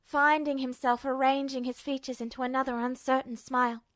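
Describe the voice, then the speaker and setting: A woman narrating fan fiction as an audiobook reader, in a soft, even storytelling way. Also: high-pitched, brisk, dry recording. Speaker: podfic reader.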